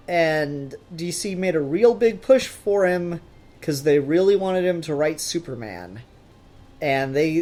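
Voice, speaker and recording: A man's voice: fairly high pitch, a little mellow. A man hosting a podcast in casual, rambling conversation with a joking manner. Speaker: podcast host.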